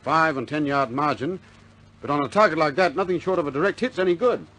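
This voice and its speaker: Plucky, husky-voiced RAF airman. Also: a little high, expressive, fast, bright, forceful tone. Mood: breezy, frank. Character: plucky young male RAF bomber airman, frank and stubborn. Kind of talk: 1950s British war film acting.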